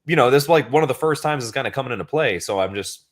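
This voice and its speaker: A man's voice: medium pitch, expressive, rapid-fire. A man co-hosting a livestream panel, chatting casually and rambling with fillers over a video call. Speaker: co-host of an awards livestream. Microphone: webcam on a video call.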